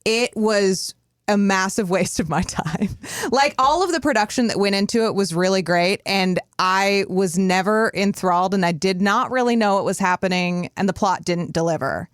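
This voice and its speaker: A woman's voice: mid-range voice, moderate pace, clean tone, dry recording, clean studio sound. A woman co-hosting a podcast, giving blunt, opinionated takes in casual conversation. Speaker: podcast co-host. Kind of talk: casual chat, reviewing a film.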